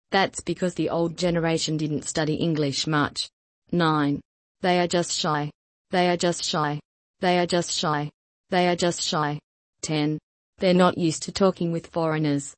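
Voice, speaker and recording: Slow, dry-voiced woman reading drill lines. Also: deep voice, no room sound. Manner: slow, even, repeats each sentence. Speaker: language lesson reader. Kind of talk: scripted repeat-after-me drill.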